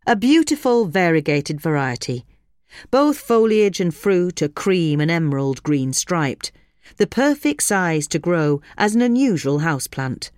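A woman reading a scripted gardening article aloud in a measured, informative way. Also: fairly low pitch, no room sound, studio quality. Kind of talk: scripted narration, read aloud.

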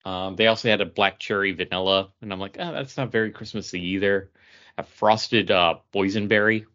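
A man's voice: on the low side, brisk, sharp tone, lo-fi sound. A man co-hosting a podcast in casual chat, rambling through tentative asides. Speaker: podcast co-host.

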